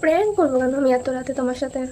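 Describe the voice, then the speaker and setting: A young woman talks extremely fast in a high voice. She is teasing and insistent, like a prank caller pushing a casual chat along. There is clear background noise on the recording. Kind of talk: casual prank phone chat with asides to viewers.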